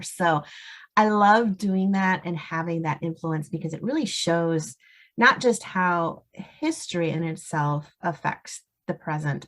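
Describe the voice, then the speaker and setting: A woman's voice: low-pitched, a bit bright. A woman novelist talking casually as a guest in a podcast interview.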